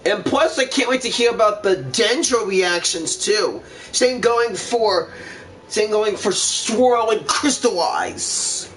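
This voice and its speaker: High-pitched man doing casual game commentary. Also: slow pace, a little hiss or hum, low-quality recording. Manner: excitable, reacting out loud. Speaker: gaming video host. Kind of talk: casual let's play commentary.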